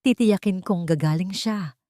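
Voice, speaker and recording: A woman's voice: on the low side, measured pace, mellow, no echo, clean studio sound, lightly acted. A woman with a Filipino accent voicing a cold, brooding rich man in a romance story, blunt and businesslike. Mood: firm, merciless.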